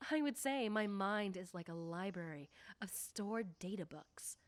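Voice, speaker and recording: Woman playing popular high school girl, confident, nosy, coy teasing delivery, ASMR roleplay, dry close sound. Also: dry recording, natural voice. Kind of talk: ASMR roleplay, speaking directly to the listener.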